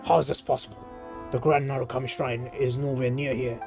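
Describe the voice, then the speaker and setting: A man's voice: mid-range voice, little pitch movement, fairly quick, gravelly, music in the background, lightly acted. An Indian man voicing a stubborn, officious camp officer from a video game, curt and dismissive. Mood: irritated. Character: stubborn, dismissive military camp officer, defensive about authority.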